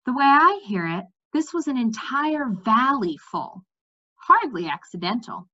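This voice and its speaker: A woman reads as a brisk, candid young fantasy heroine from a storybook. She talks in a bright, fairly high voice with animated pitch. The recording is a little dull. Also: exaggerated voice. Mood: worried. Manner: matter-of-fact, animated.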